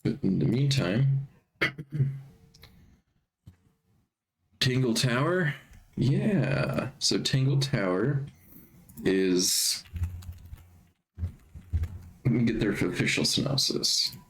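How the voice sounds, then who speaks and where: A man streaming live in a casual chatty way, a bit stuffy from allergies and clearing his throat often. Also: medium pitch, expressive, drawn-out words, pauses often, a bit bright, poor audio.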